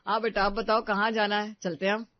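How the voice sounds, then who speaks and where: A woman's voice: mid-range voice, level tone, brisk, no room sound, muffled recording, natural voice. A woman playing an earnest, protective young husband and stepfather in a story, speaking firmly. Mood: gentle, reassuring. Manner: firm, earnest.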